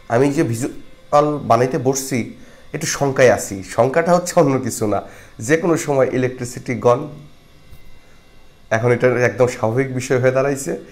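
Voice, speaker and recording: Middle-aged male film reviewer, reflective and moralizing, to camera on a clip-on mic. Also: mid-range voice. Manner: reflective, moralizing, frequent hesitations. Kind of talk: casual film review monologue. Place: small indoor studio with patterned backdrop. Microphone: lapel mic.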